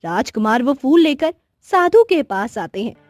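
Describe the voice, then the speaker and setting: A woman's voice: sits high, very animated, a little slow, darkish tone, no room sound. A woman narrating a scripted cartoon story as storyteller.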